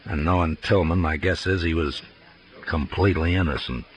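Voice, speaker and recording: An actor playing a troubled, plain-spoken Old West marshal in a 1950s radio western, terse and hesitant in manner. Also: male voice, deep voice, normal speed, lightly acted. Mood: brooding. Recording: noisy 1950s radio recording.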